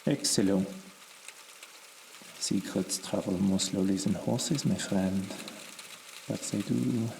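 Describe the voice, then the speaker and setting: An actor playing a sinister, elegant, patient murderer with a menacing, deliberate delivery in a Victorian audio drama. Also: male voice, lowish voice, steady tone, vocal fry. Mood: quiet menace. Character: sinister, elegant, patient male murderer travelling under aliases. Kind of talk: audio drama acting of Victorian period fiction. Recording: distant, with faint crackling ambience.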